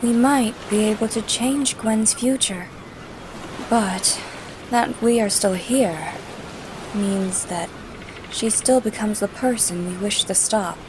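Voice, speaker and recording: A woman playing a serious, pragmatic superhero from the future in a comic dub, measured and explanatory. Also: medium pitch, close to an everyday voice. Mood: grave. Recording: noisy home recording.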